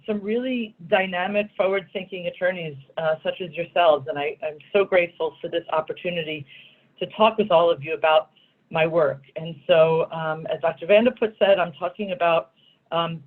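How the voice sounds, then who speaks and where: A woman's voice: fairly low pitch, moderate pace. A woman law school dean opening a lecture over a video call, gracious and articulate as she thanks her hosts. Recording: tinny phone-like audio.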